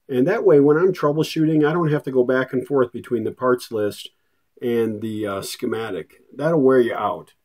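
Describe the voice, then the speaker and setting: A man hosts a casual, hands-on repair walkthrough. His voice is mellow and a little rough around the edges, at a middling pitch. He sounds folksy and unhurried in manner, often thinking aloud. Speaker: host of a radio repair channel. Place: indoor workshop at a bench.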